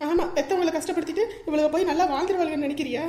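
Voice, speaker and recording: Woman doing bitter village mom, soft-edged but really shrill high pitch, whiny scolding, roomy echo, family drama. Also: racing, lightly acted. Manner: plaintive, lamenting, scolding. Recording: roomy, echoing voice recording.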